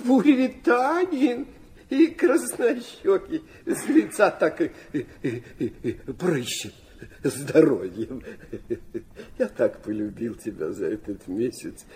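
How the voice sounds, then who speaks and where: An actor playing a sentimental, flighty old Russian prince in a radio play, rambling and hesitant, stammering and dropping in French phrases. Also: male voice, fairly high pitch, gravelly, dark tone, slight background noise, exaggerated voice. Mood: sentimental, effusive. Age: older.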